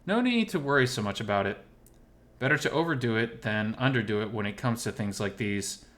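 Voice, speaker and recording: A man's voice: on the low side, expressive, brisk, fairly bright tone. A man playing a guarded, confident, muscular male survivor of an apocalypse in a visual novel, direct and self-assured. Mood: reassuring.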